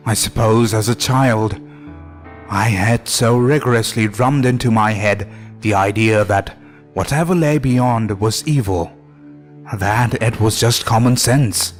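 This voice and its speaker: A man narrating a scripted horror story in a deliberate, suspenseful way with dramatic pauses. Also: on the low side. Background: music under the voice.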